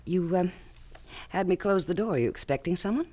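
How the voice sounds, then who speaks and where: Coy glamorous secretary, low-pitched, lively, radio play. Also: female voice, fairly quick. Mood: flirtatious. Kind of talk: 1970s radio drama acting.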